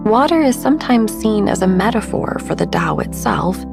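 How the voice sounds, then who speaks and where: A woman podcast host narrating a sleep meditation in a soft, breathy, soothing and gentle manner. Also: mid-range voice, expressive, moderate pace, music in the background. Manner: soothing, hushed, gentle delivery.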